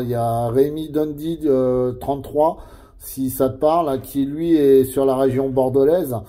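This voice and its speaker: A middle-aged man talks as a CB radio operator. His voice is very dark and mellow, at an ordinary male pitch. He keeps a casual, chatty manner, often tacking little tags onto his phrases.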